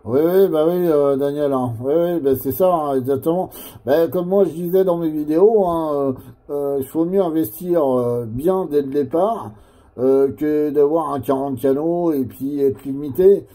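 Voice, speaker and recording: A man's voice: fairly high pitch, a little slow, dark tone. A man with a French accent chatting casually over amateur radio, explaining things in a rambling, hesitant way. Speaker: amateur CB radio operator who makes videos.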